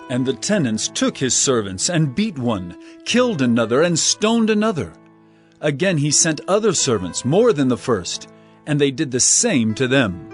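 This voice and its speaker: An earnest holy teacher in an audio scripture drama. His fairly high voice moves expressively as he makes solemn, authoritative declarations and tells parables. Soft music sits underneath.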